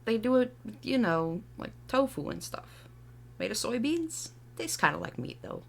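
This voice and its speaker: A woman's voice: a little high, very animated, a little slow, vocal fry, slight background noise, pitched above the performer's own voice, full character voice. A woman playing a casual, chatty modern city dweller in a drama.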